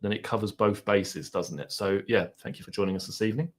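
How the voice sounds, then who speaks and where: A man's voice: fairly low pitch, fast talker. A man with an Essex accent hosting a live stream, rambling casually and chatting with his viewers. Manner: mild swearing, laid-back banter.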